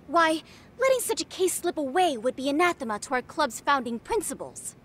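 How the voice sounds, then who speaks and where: High-pitched, theatrical schoolgirl detective. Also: sing-song, brisk, fairly bright tone. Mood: pompous, arch. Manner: pompous, precise, dramatic phrasing. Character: theatrical, self-important teenage schoolgirl, detective club leader. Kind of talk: scripted video game dub acting. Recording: music under the dialogue. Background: music.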